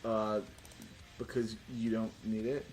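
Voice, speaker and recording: A man's voice: fairly low pitch, level tone, slow pace, darkish tone, soft background music, poor audio. A man casually running a tabletop role-playing game as game master, joking and swearing freely. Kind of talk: casual, joking live-streamed game narration. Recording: clear background noise, keyboard and mouse clicks.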